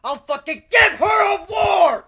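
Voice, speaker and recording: A man's voice: very high-pitched, expressive, unhurried, creaky, shouting, over a noisy crowd, voice pushed up, exaggerated voice. A man voicing a wounded, vengeful but fragile male griffin warrior in a theatrical dramatic story reading. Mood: enraged, ranting. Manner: intense, theatrical, swinging into brooding.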